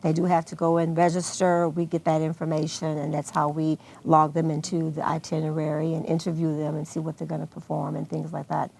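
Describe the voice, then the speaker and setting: A woman with an American accent speaks in a notably low register. Her voice is very creaky and gravelly. She answers as an event organizer in an unscripted television interview. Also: completely flat delivery, a little fast, hardly any pauses, a little mellow. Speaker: guest, arts festival organizer.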